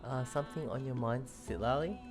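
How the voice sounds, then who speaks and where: A streamer casually reacting to a game and reading dialogue aloud in a hesitant, low-key way. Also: a little fast. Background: loud game music.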